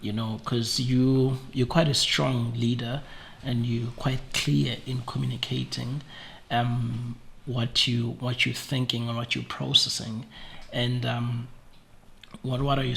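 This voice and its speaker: A man hosting a podcast, asking slow, thoughtful questions. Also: medium pitch, little pitch movement, creaky, a bit bright, slight background noise, low-quality recording. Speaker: podcast host. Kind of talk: interview questions.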